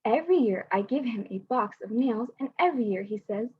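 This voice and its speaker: A knowing older brother explains things to his little sibling in a storybook, voiced by a young woman reading. The tone is light and a bit breathy, heard through dull, lo-fi video call audio. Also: on the high side, expressive, brisk. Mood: matter-of-fact.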